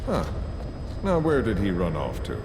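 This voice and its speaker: An actor playing a cold, menacing villain mastermind in a video game, deliberate, sardonic and coolly formal. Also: male voice, lowish voice, huge pitch swings. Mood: mocking. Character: cold, menacing, courteous middle-aged male villain mastermind. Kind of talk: dramatic game voice acting. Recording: clearly noisy audio-tape style recording.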